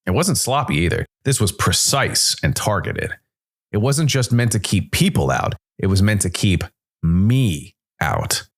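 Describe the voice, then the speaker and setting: A man narrating a scripted first-person noir story as a world-weary supernatural detective, brooding and dramatic, with deliberate, weighted pauses. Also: lowish voice, very animated, full character voice. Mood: brooding, tense. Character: world-weary supernatural noir detective, male jazz musician working for Death.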